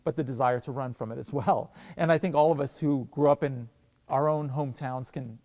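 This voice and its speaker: A man's voice: medium pitch, fairly quick, darkish tone, in a large hall. A man, a theatre director, answering interview questions in a thoughtful, enthusiastic way with many fillers and restarts.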